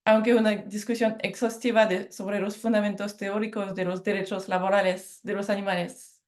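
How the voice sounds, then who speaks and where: A French woman speaking Spanish with a French accent, giving a measured academic presentation and correcting herself on harder words. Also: mid-range voice, a little mellow. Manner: measured, careful, with self-corrections on hard words. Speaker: lecturer.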